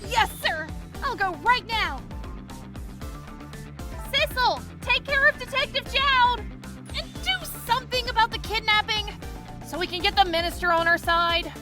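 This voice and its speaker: A woman's voice: very high voice, lively intonation, measured pace, pauses often, music in the background. A woman voicing an eager, earnest young female detective in a game fandub, speaking with emphatic determination. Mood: eager, urgent.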